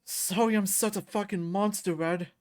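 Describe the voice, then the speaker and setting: A woman's voice: medium pitch, steady tone, normal speed, a little mellow, close to an everyday voice. A woman voicing a big, hot-tempered male fighter who grumbles and swears, in a visual novel reading. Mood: sullen, sorry.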